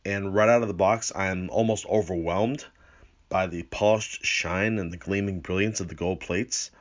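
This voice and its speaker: A man's voice: low voice, fairly even intonation, normal speed, lo-fi sound. A man presenting a video review in an earnest, wordy way, with rather formal phrasing. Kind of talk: scripted review narration with enthusiastic unboxing remarks.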